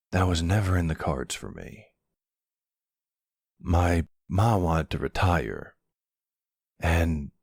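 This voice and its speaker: Man playing a deep, gravelly flirty mafia boss. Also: dry recording, clean studio sound, full character voice. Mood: playful teasing.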